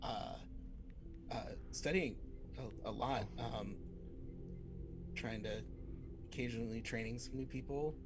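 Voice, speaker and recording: Guy role-playing at a tabletop game, medium pitch, halting and trailing off, music behind. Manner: hesitant, trailing phrases. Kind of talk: casual improvised role-play.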